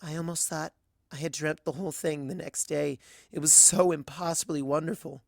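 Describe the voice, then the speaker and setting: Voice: mid-range voice, steady tone, moderate pace, creaky, dry recording, studio quality, close to an everyday voice. A reader voicing a timid, earnest young female pony who speaks in a hesitant, pleading way, in a dramatic fan fiction reading. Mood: wistful.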